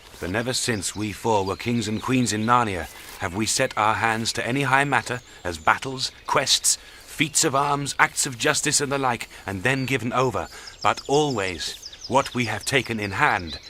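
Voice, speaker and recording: A man's voice: mid-range voice, normal speed, pushed and bright, a little hiss or hum, lightly acted. An actor playing a noble, resolute young king in a fantasy audio drama, speaking in formal, archaic, courtly phrases. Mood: proud resolve. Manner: archaic, formal, declamatory speech.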